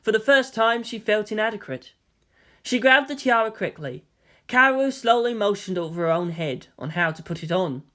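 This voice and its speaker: A woman reading scripted fiction aloud as an expressive story narrator. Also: medium pitch, moderate pace.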